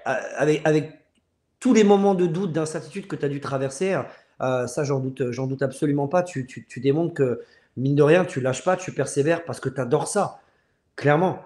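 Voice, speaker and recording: Casting: male host, 30s, fairly high voice, earnest and halting, casual live chat from a very roomy-sounding space. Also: darkish tone. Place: room at home. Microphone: earphone mic on video call. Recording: roomy, echoing video-call audio.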